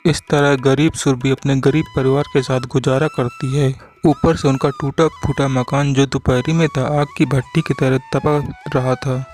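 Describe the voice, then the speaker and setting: A man's voice: mid-range voice, level tone, unhurried, few pauses, darkish tone. Man narrating a storybook cartoon over music.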